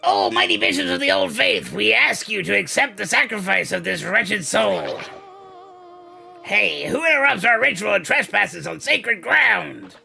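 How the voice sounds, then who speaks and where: A man reading game dialogue as a pompous cultist leading a ritual, theatrical and drawn out, in a creaky, sharp voice. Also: high voice, sing-song, unhurried, soft background music, pitched above the performer's own voice, full character voice. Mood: pompous, indignant.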